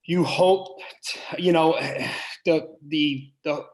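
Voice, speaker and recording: A man with a big voice who is a head basketball coach, answering podcast questions earnestly and thinking aloud with pauses and restarts. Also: fairly high pitch, fairly even intonation, low-quality recording.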